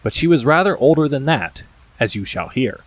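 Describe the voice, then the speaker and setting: A man narrating a classic children's story from a script, expressive and speaking directly to the listener. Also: mid-range voice, normal speed. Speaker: audiobook narrator.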